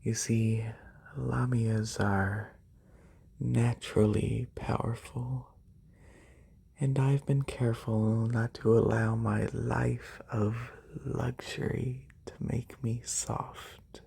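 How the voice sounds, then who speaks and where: A performer plays a wealthy, haughty lamia noble in a soft-spoken ASMR roleplay. The voice is very breathy and often whispery, with a creaky edge. Every phrase comes extremely slowly and condescendingly, close to a binaural microphone. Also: mid-range voice, level tone, exaggerated voice. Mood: calm menace.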